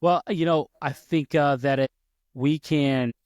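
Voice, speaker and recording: A man's voice: on the high side, fairly even intonation, a little slow, darkish tone, clean tone, no echo, studio quality. A man in his thirties hosting a podcast, telling personal stories in casual talk, often restarting and correcting himself. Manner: storytelling, frequent restarts and self-corrections. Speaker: podcast host.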